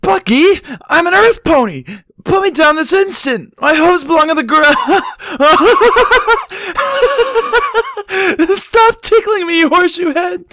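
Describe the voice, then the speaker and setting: An excitable cartoon pony mare, acted out by a male narrator, brassy and loud. The voice jumps far up into a shrill falsetto, shouting like an indignant storybook brewer. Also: takes it slowly, dry recording, full character voice. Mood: indignant, giggly protest.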